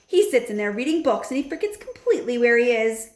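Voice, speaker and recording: A woman voicing a warm, loving old grandmother who speaks calmly and fondly. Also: fast talker, reverberant. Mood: affectionate. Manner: high, affectionate, calm.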